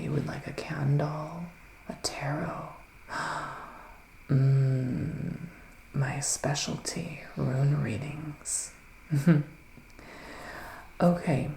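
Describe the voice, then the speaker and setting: A man playing a gentle, theatrical male witch psychic in an ASMR roleplay, speaking in a breathy, sighing, partly whispered hush. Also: a little high, roomy sound, slight background noise, heavily acted, rough recording. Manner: breathy, sighing, hushed, dramatic. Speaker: ASMR performer.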